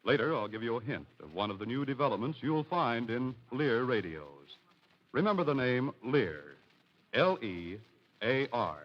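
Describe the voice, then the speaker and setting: A man's voice: medium pitch, sing-song, moderate pace. An American man reading a scripted sponsor message as a 1940s radio announcer. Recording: muffled 1940s radio broadcast.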